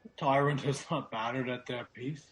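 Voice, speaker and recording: A man's voice: medium pitch, steady tone, normal speed, fairly bright tone, slight background noise, close to an everyday voice. A man playing an emotional, grave nobleman in a Shakespearean radio drama, speaking dramatic verse. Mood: anxious, urgent. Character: Macduff, a grief-stricken Scottish nobleman turned vengeful.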